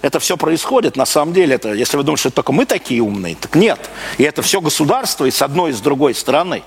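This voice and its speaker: A man's voice: on the high side, big pitch swings, fast. A man from Russia giving a live public lecture, speaking emphatically with repeated phrases, rhetorical questions and broken-off sentences. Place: live talk before an audience. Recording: clearly noisy live recording.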